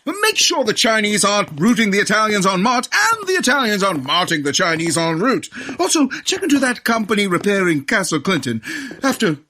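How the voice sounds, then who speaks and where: Pompous police commissioner, wildly swooping pitch, bright forceful voice, hearty exclamations, comic audio drama. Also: big pitch swings, brisk, slight background noise. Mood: brisk, commanding.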